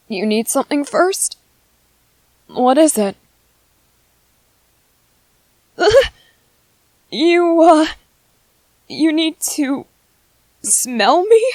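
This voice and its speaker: Woman doing a soft-spoken clever young soldier guy, asmr roleplay, slow and polite, lots of little ums, dry close sound. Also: high-pitched, sing-song, no room sound, heavily acted. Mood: flustered.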